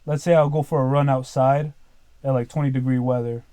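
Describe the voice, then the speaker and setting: Young man of twenty, podcast guest, mellow tone, dry close sound, loose rambling delivery full of "like" and "dude". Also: medium pitch, fairly even intonation, brisk, no echo. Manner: rambling, leans heavily on "like" and "dude". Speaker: podcast guest, business owner. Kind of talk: casual podcast chat.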